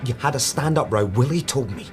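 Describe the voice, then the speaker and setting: An actor playing an edgy, worried restaurant worker in a radio drama, blunt and defensive. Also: male voice, mid-range voice, lively intonation, a little fast, faint music behind, close to an everyday voice. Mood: accusing. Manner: blunt, accusing.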